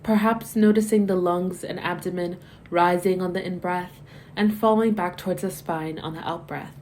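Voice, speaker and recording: Mellow, low-voiced woman guiding meditation. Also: steady tone, slight background noise. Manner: gentle, measured, soothing instruction with soft phrase endings. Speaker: meditation guide. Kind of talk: guided body scan meditation, scripted narration.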